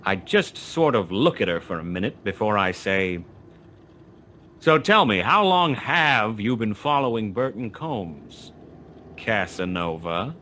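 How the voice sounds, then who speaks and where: A man's voice: fairly low pitch, animated, measured pace, bright, forceful tone, slight background noise. An actor playing a wry, terse private detective who narrates his own case in a noir radio play. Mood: wry, probing. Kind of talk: 1940s noir radio play acting, first-person narration.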